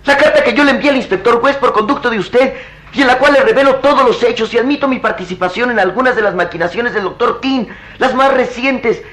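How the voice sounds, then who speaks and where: A guilt-ridden doctor with an unnaturally youthful, very high voice. He speaks in earnest, halting phrases, full of the torment of old-style radio melodrama. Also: fairly bright tone. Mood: remorseful, resolute. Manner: halting, dramatic, anguished delivery. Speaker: radio drama actor. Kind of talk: melodramatic radio drama acting.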